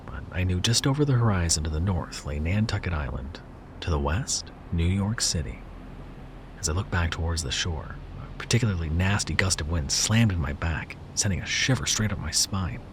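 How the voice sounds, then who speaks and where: A man reading a first-person horror story in a slow, hushed delivery that sometimes drops to a whisper. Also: extremely low pitch, background noise.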